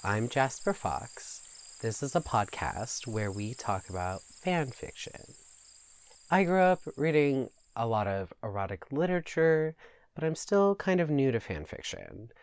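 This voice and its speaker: A man's voice: medium pitch, expressive, unhurried, clear, resonant tone, no room sound. A man hosting a podcast and reading fan fiction aloud in a scripted narration style. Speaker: podcast host and story reader.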